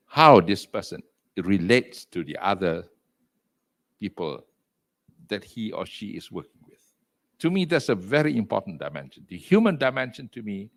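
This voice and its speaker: An older man with a Malaysian accent speaks with a loud, emphatic delivery. His pitch swings widely from low murmurs to sudden high exclamations, and he drops Malay words into his English. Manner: mixes in Malay words and phrases. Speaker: entrepreneur and executive chairman, interview guest. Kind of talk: interview over video call.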